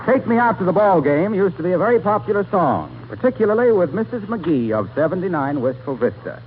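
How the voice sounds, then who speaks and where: Animated vintage radio announcer, a man. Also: high-pitched, a little fast, phone-quality sound, noisy background. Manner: polished, emphatic delivery. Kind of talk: 1940s radio announcing and sponsor pitch, scripted. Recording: thin vintage radio broadcast recording.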